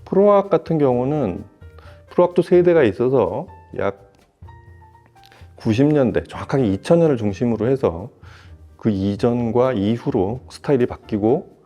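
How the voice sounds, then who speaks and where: A man giving a semi-formal, explanatory product review in a measured way, rephrasing as he goes. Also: medium pitch, lively intonation, dark tone, dull audio, soft background music. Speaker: audio equipment reviewer.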